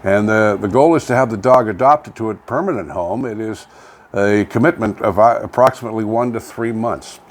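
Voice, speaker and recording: A man's voice: lowish voice, a little fast. An older man with a Canadian accent co-hosting a casual local news chat, sometimes reading items aloud, in a folksy, plain-spoken, rambling way. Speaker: co-host of a local online radio vlog. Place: home studio, indoors. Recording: clearly noisy home studio recording.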